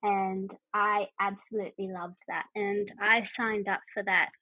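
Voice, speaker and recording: A woman's voice: mid-range voice, level tone, measured pace, no room sound. A young woman from Australia with an Australian accent, a university student chatting through interview answers with frequent ums. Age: young adult. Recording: dry, tinny phone-like line.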